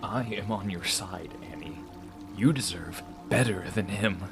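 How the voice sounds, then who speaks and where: A man playing a secretive, gravelly self-styled hero. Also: low-pitched, unhurried, music in the background. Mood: pleading. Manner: soft, insinuating, confiding. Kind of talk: audio drama acting.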